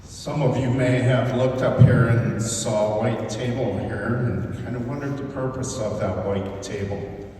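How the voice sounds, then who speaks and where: Mellow-voiced male emcee in an echoing hall. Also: medium pitch, fairly even intonation, unhurried. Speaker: ceremony emcee. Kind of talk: addressing an audience at a ceremony. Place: large indoor hall at a museum. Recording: echoing hall, distant mic, faint noise.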